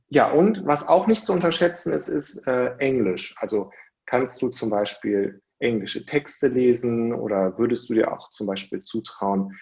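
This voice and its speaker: A man talks at length in a relaxed advisory chat, dropping fillers as he goes. His voice has a gravelly fry. The sound is dull and lo-fi. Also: mid-range voice. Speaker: academic advising a prospective doctoral student. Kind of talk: casual advisory conversation.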